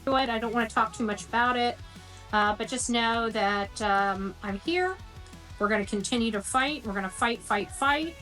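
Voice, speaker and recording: A woman talking casually to an online audience in an earnest, halting way. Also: a little high, sharp tone, soft background music. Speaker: online video creator.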